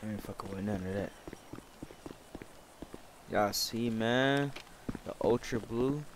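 A man's voice: on the low side, slow pace. A man giving casual, slangy, profane running commentary as he plays a roleplay video game, muttering to himself and viewers. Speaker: gaming video creator playing a roleplay game. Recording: loud vehicle and outdoor game noise behind. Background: loud game car and outdoor ambience.

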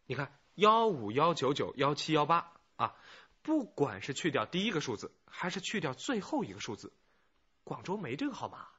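An actor playing a keen, insistent junior police detective in a radio drama. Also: male voice, a little high, very animated, moderate pace, bright, forceful tone, husky, exaggerated voice. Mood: puzzled, keen. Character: keen junior male detective puzzling over a clue. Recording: dull, muffled lo-fi audio.

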